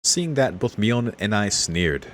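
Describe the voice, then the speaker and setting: A man's voice: fairly low pitch, big pitch swings, unhurried, fairly bright tone, slight background noise. A man reading a visual novel aloud and giving casual, chuckly commentary as a streamer.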